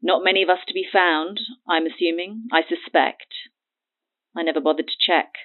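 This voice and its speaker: Over a tinny, narrow phone line comes a cagey, sardonic woman with a lowish voice. She talks with haughty mockery, needling whoever listens, in natural audio drama style. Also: fairly even intonation, moderate pace. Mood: defensive, mocking. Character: Birdie, cagey mocking woman selling her testimony. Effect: phone line filter.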